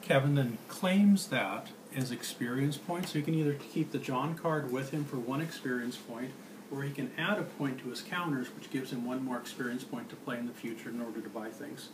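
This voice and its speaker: A man's voice: mid-range voice, fairly quick. A middle-aged man explaining card game rules while playing, recorded across a table in a small room. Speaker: board game demonstrator and player. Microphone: handheld camera across the table. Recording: noisy amateur room recording.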